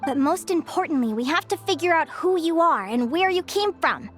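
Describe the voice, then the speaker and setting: An earnest young adventurer who trains creatures in a video game. The voice is very high and youthful, with lively, eager intonation. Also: female voice, normal speed, soft background music. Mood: hopeful, tender. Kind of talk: animated game voice acting.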